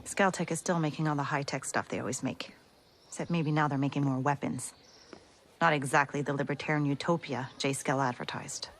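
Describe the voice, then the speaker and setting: An actress playing a wry, no-nonsense resistance fighter in a video game cutscene. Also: female voice, sits low, fairly even intonation, a little fast, slight background noise. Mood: wry, cool.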